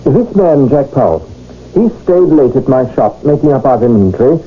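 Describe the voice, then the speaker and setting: An actor playing a mild, trusting, middle-aged shopkeeper in a 1970s radio drama, hesitant and flustered. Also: male voice, medium pitch, big pitch swings, moderate pace, close to an everyday voice. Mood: astonished. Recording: tinny, noisy old radio broadcast.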